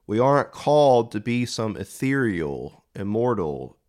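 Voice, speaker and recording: A man preaching a reflective homily in measured, deliberate phrases. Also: fairly low pitch, sing-song, a little mellow.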